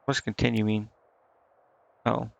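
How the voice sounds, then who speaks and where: A man's voice: on the low side, completely flat delivery, a little slow, creaky, no room sound. A man doing casual live game commentary, thinking aloud to himself in slangy, indecisive mutters. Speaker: game streamer. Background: game music.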